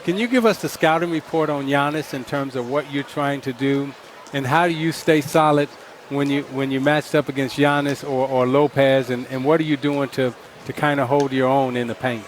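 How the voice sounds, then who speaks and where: A man's voice: mid-range voice, steady tone, moderate pace, a little hiss or hum. A man on a sports panel asking a detailed question, stumbling over and repeating words.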